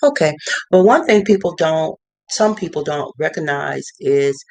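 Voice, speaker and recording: Middle-aged artist vlogging, mid-pitched voice, rambling with fillers, dry studio sound. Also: dry recording. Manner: rambling, many fillers and restarts. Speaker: visual artist and art consultant. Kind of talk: casual talking-head advice. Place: cluttered home studio.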